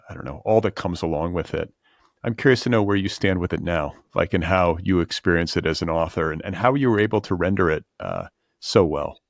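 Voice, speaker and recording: Very deep-voiced male podcast host. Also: no echo, studio quality. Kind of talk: conversational interview questioning.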